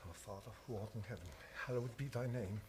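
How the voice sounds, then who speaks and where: An actor playing an earnest doctor hunting vampires in a radio play, with a dramatic manner. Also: male voice, mid-range voice, fast talker, a little mellow, lightly acted. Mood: praying.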